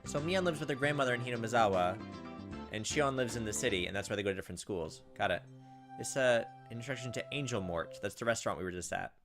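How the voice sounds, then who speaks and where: Male gameplay host, brisk, casual read-aloud over game music. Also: medium pitch, animated. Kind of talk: visual novel read-aloud with casual commentary. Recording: loud game music behind.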